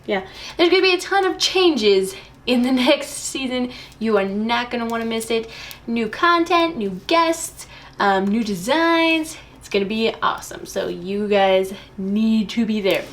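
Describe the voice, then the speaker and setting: Bubbly high-voiced woman hosting a vlog. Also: lively intonation, unhurried. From United States. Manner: bubbly, enthusiastic. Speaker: host. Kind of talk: casual vlog chat to camera.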